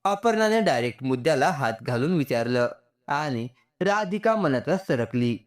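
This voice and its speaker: A man's voice: a little high, animated, normal speed. A man reading a scripted story aloud as a storyteller.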